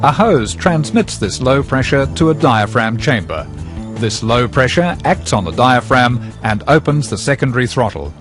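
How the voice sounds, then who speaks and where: A man narrating an educational film in a scripted, measured and precise explanatory manner. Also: mid-range voice, very animated, faint music behind.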